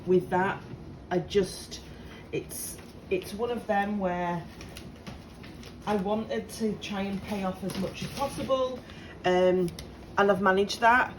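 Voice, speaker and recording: A woman in her late thirties from the UK, slightly croaky, chatting casually and apologetically to camera with lots of ums, at home with appliances humming faintly. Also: mid-range voice, steady tone, takes it slowly. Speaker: budgeting vlogger. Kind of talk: casual direct-to-camera update. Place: home on a Sunday morning. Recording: faint appliance hum behind. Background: dishwasher and washing machine running.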